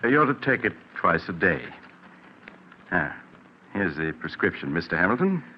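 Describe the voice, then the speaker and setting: An actor playing a calm, kindly family doctor who narrates a 1940s radio drama in a measured, reassuring manner. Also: male voice, low-pitched. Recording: muffled, hissy 1940s radio recording.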